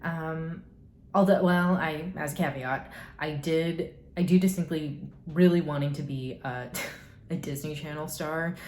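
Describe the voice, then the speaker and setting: A woman's voice: deep voice, fairly even intonation, measured pace, a little mellow. A young woman rambling through get-to-know-me questions in a casual vlog, full of hedges and dry, sarcastic asides. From United States. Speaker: YouTuber. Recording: echoey room, faint noise.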